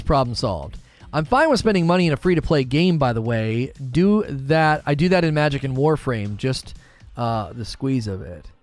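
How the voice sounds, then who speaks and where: Relaxed forty-year-old man chatting. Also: mid-range voice, dry recording. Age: 40s. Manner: relaxed and conversational, musing aloud with fillers. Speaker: gaming channel host reading live chat. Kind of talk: casual livestream chat.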